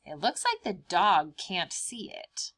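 Bright, lively woman talking to young children. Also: on the low side, slow. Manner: simple, expressive explaining with questions to children. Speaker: preschool teacher reading storybooks aloud. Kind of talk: read-aloud with explanations for young children.

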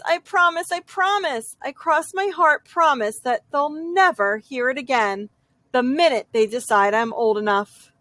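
A woman with a high voice reads a children's storybook aloud. She takes it slowly, stretching key phrases for dramatic effect. The sound is dry, with no room echo. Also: fairly bright tone. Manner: dramatic, drawn-out emphasis on repeated phrases. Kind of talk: expressive children's picture-book read-aloud in a young girl's first person.

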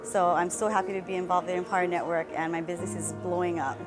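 A woman's voice: fairly low pitch, little pitch movement, quick pace, rough recording. A woman from Hawaii giving an upbeat, polished testimonial as an entrepreneur and singer. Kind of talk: promotional testimonial. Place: studio booth before a logo backdrop. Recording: music bed underneath.

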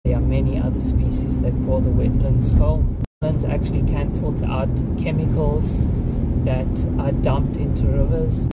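A young man talking casually to camera while driving, explaining things in a relaxed way. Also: mid-range voice, normal speed. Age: young adult. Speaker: vlogger. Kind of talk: casual travel vlog. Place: inside a moving car. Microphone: camera on the dashboard. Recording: loud road noise.

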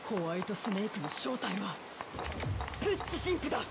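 An actress playing a rough-spoken, determined female creature in an anime, with a tough way of talking. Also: medium pitch, big pitch swings, normal speed, heavy background noise. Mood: urgent. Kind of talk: dramatic anime dialogue. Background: very loud dramatic music.

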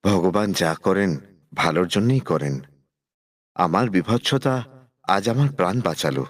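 A humble, pious old-fashioned fable tree, given voice by a man, speaking in a lowish register. The delivery swings expressively up and down, as in a children's cartoon. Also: expressive, measured pace. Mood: relieved, grateful. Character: sorrowful crooked ugly tree, humble and pious.

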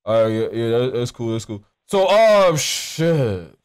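A man's voice: medium pitch, big pitch swings, measured pace, a little mellow, smooth. A young American man making a prank call in casual street slang, rambling, nonchalant and profane, close on a studio mic. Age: young adult. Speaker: prank caller and streamer. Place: indoors at a streaming desk. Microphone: close studio mic with pop filter, headset on.

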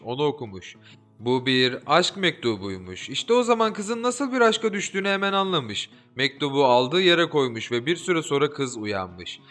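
Guy reading a fairy tale, bright lilting voice, soft music under it. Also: a little high. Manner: expressive, lilting storytelling. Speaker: audiobook narrator. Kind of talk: scripted fairy-tale narration. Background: soft background music.

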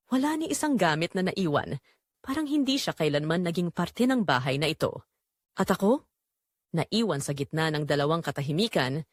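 Remorseful mother voiced by a woman, expressive delivery. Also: medium pitch, no echo, studio quality. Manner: emotive, deliberate storytelling with dramatic pauses. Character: remorseful middle-aged mother-in-law confessing a forbidden affair. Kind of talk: dramatic first-person audio drama narration.

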